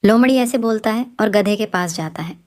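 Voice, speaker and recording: A woman's voice: mid-range voice, moderate pace. A woman narrating a scripted children's story as the storyteller. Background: plucked string music.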